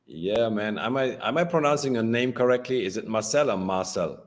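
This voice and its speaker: A man's voice: medium pitch, animated, brisk, slight background noise. A man from Ukraine hosting a casual podcast interview, friendly and repeating words as he talks. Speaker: podcast host.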